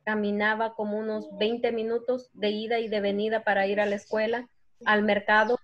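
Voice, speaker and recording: A woman's voice: medium pitch, level tone, normal speed, muffled recording. A woman casually telling personal anecdotes in a class discussion, in a chatty storytelling way.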